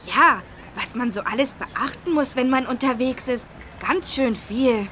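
A woman's voice: fairly high pitch, expressive, unhurried, a bit bright, slight background noise. A woman voicing a cheerful, curious little boy who eagerly explains things in a children's game. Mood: eager, friendly. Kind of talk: children's educational game voice acting.

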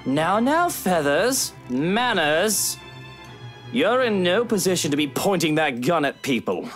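A woman's voice: fairly low pitch, sing-song, soft background music. Woman doing a smug, theatrical villainous rich man. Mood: contemptuous. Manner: sneering, theatrical, drawn-out taunting. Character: smug, menacing rich man, contemptuous of intruders.

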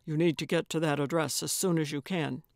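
Casting: controlled, secretive man played by a woman narrator, clipped and brisk, lowered a little, audiobook thriller orders. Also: steady tone, darkish tone, no room sound, clean studio sound. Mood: urgent, commanding. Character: tightly controlled, commanding, secretive man giving urgent orders. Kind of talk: audiobook character voice.

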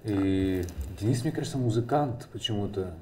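A man's voice: on the low side, normal speed, warm tone, slight background noise. A man in his thirties giving casual, speculative commentary as a studio panelist. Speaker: studio commentator on a dating show. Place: indoor TV studio.

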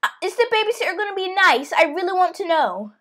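A teenage girl hosting a gaming video, acting out roleplay with excitable, repeated exclamations. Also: very high voice, fast. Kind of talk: dramatic roleplay commentary.

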